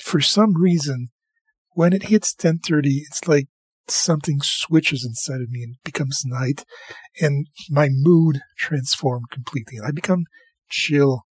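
Man, mid pitch, husky and dry, rambling music-show host chat. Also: unhurried, dry recording. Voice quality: slightly husky, tired night voice. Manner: chatty, rambling, enthusiastic about music.

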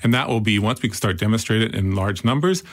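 A man with a Canadian accent, a founder explaining his product in an interview with quick enthusiasm. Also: on the low side. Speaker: startup founder. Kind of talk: interview answers.

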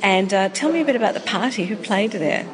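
A woman's voice: mid-range voice, animated, quick pace, crowd noise, low-quality recording. A woman conducting a conversational podcast interview on location, thinking aloud as she prompts and affirms her guest. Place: indoors in a gallery exhibition. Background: exhibition film soundtrack music.

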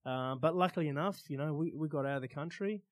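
A man with an Australian accent telling personal stories as an interview guest, in casual, halting phrases with pauses. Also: a little high. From Australia, born in Iran. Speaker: interview guest, stunt pilot and motivational speaker.

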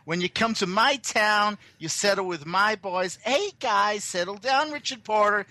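A man's voice: high voice, measured pace, pushed and bright, no echo, exaggerated voice. A man playing a brash, crude older surf industry boss, calling in and rambling boastfully. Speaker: caller on satellite phone.

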